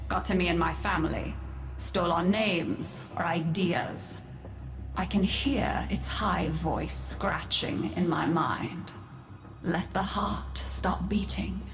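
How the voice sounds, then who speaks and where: An actress playing a bitter, dreamy, infested matriarch in a video game, weary and scornful, with a brooding, haughty delivery. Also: female voice, on the low side. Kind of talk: theatrical video game acting. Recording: echoey, with music underneath.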